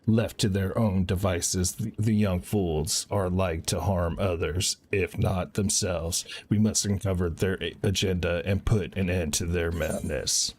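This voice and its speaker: A man's voice: low voice, fairly even intonation, a little slow, hardly any pauses, a little mellow, slight background noise. A man reading out the formal, old-fashioned lines of a grave, worried ally in a fantasy game. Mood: grave, concerned. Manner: formal, archaic wording. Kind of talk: reading game dialogue aloud.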